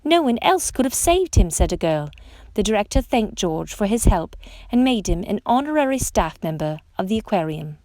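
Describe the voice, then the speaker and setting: A woman reading a children's picture book aloud as a storytime narrator, with expressive emphasis on questions and exclamations. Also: medium pitch, moderate pace, no room sound. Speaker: storytime reader.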